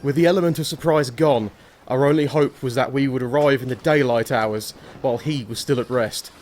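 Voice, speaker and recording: A man's voice: medium pitch, brisk, darkish tone, close to an everyday voice. A man playing a devoted, anxious young husband who also narrates earnestly in an audio drama. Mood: grave narration. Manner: earnest, protective. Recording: audible background noise.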